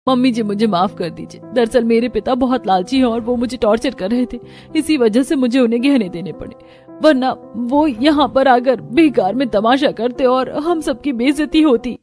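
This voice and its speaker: A woman's voice: a little high, normal speed, darkish tone, faint music behind, lightly acted. A woman playing a meek, anxious young daughter-in-law in a story, pleading and apologetic in manner.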